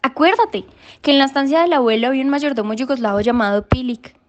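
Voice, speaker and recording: A woman's voice: sits high, fast talker, dull audio, natural voice. A woman playing a solemn, precocious youngest daughter who speaks formally and pedantically in a literary radio drama. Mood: earnest, pedantic.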